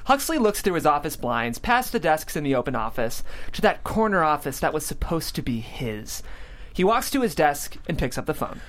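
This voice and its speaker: A script narrator with a mid-pitched voice and lively movement in it. The reading is scripted stage directions for a comedy table read. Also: normal speed.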